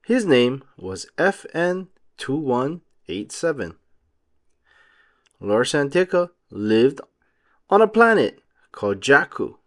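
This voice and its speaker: A man narrating a children's storybook aloud, phrasing each short sentence deliberately for young listeners. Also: mid-range voice, very animated.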